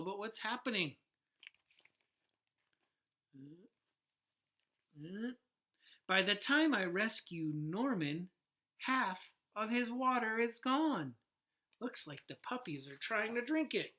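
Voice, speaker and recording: A man, a teacher, reading a storybook aloud to children in an expressive, deliberate way, with asides and questions to his listeners. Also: high-pitched.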